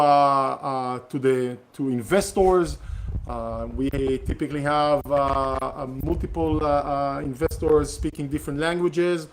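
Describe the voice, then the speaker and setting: A middle-aged man whose first language is Hebrew, hosting a casual live question-and-answer session, talking haltingly with many fillers and restarts. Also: fairly high pitch, a little hiss or hum, low-quality recording. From Israel. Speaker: real estate investor hosting a Q&A session. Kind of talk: casual live-streamed talk.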